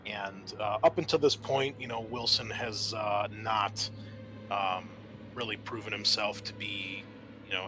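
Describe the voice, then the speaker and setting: A man's voice: lowish voice, bright, forceful tone, poor audio. A man working as game master in a tabletop roleplaying game, explaining things in a conversational way. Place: online voice call.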